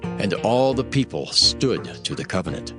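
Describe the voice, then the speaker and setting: A male narrator reads scripture solemnly as scripted drama. His voice is on the low side with wide, expressive movement, and music plays clearly behind him. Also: normal speed.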